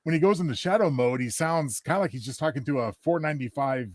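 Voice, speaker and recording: A man chats casually as a podcast co-host. He talks quickly in a slightly raised pitch. The recording is dry and close. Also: dry recording. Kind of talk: casual chat and commentary.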